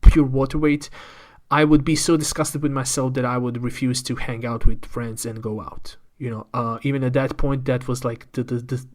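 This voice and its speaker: A man's voice: mid-range voice, steady tone, moderate pace. Guy in his 30s on a podcast, medium voice, honest storytelling, repeats words while thinking. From Croatia. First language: Croatian. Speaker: podcast guest. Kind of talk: candid personal storytelling in an interview.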